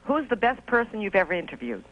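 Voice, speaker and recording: A woman's voice: medium pitch, very animated, quick pace. A woman calling a talk show by telephone, chatting in a cheeky, familiar way. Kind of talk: casual phone-in chat. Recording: dull lo-fi telephone line.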